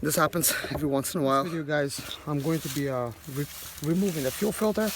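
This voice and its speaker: A man in his thirties with a hoarse, failing voice, casually talking through a van repair outdoors into a handheld phone. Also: a little high, quick pace. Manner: thinking aloud while working, many fillers. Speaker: mobile mechanic. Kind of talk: casual how-to vlog. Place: outdoors in a rural yard by a van. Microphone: phone camera held close. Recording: outdoor phone audio with background noise.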